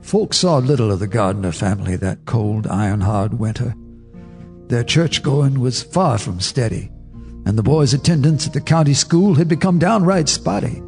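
An actor narrating as an old neighbor recalling dark events, grave and measured, in a radio drama. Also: male voice, medium pitch, vocal fry, soft background music, natural voice. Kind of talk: scripted storytelling narration.

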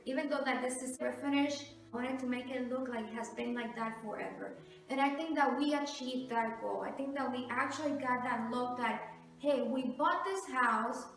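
Female home vlogger, middle-aged, high-pitched and chatty, recorded from a distance in a strongly reverberant hallway. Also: fairly bright tone. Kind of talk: casual home tour talk to camera. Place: large two-storey house hallway and stair landing. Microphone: camera mic a few metres away. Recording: echoey hallway, distant camera microphone.

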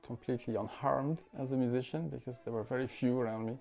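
A man in his forties from France, a musician talking thoughtfully at home, with many pauses, fillers and restarts. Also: medium pitch, fairly even intonation, gravelly, darkish tone, faint music behind. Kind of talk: reflective interview talk. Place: living room at home.

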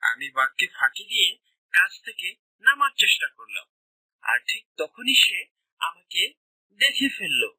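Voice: medium pitch, sing-song, moderate pace, long pauses, bright, forceful tone, slightly rough, no echo, full character voice, poor audio. A performer voicing a grateful, friendly village man in a children's cartoon, earnest and humble. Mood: relieved, grateful.

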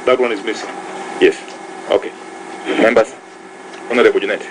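A man's voice: fairly low pitch, steady tone, a little fast, background noise. A man chairing a parliamentary committee hearing, pressing a witness with formal questions. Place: committee room.

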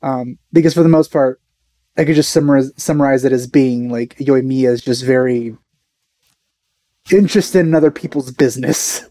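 Mellow-voiced young man, dry close sound. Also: medium pitch, normal speed, dry recording. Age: young adult. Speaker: reaction video host. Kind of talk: casual reaction commentary.

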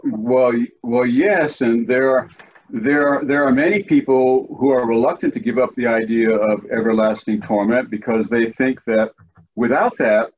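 An older man moderating a church study group on a video call, speaking in a measured, thoughtful way from a home study. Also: medium pitch, slightly rough, lo-fi sound. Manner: measured, thoughtful talk with frequent restarts. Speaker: discussion moderator.

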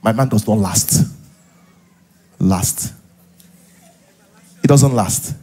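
A middle-aged man with a Nigerian accent and a husky voice, preaching as a pastor to a live congregation in an animated, conversational way, full of interjections and rhetorical questions. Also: fairly low pitch, drawn-out words. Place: large church auditorium, on stage. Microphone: headset.

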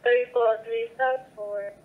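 A woman's voice: on the high side, fairly even intonation, moderate pace. A woman working as a police dispatcher, talking over a two-way radio. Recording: noisy, narrow police scanner radio.

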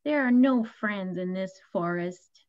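Grumpy big bear from a picture book, read by a woman, gruff and slow, high-ish voice, dull lo-fi sound. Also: lightly acted. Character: grumpy big male bear, mean then sorry. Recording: dull lo-fi recording.

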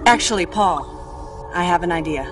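A woman in her thirties playing an earnest, forceful scientist in a video game cutscene, serious and insistent. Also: on the low side, expressive, normal speed, background noise, lightly acted. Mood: serious, focused.

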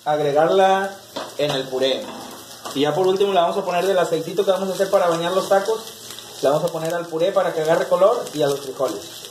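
A man in his thirties with a Mexican accent, hosting a casual cooking tutorial in a small home kitchen. He talks straight to the camera, relaxed, while he chops and stirs. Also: a little high, quick pace. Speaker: cooking channel host. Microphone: camera mic, medium distance. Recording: echoey kitchen with faint noise.

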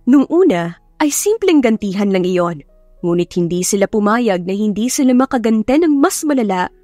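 A woman narrating a romance audiobook in a steady storytelling manner. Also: mid-range voice, no echo. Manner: steady storytelling, voices all characters.

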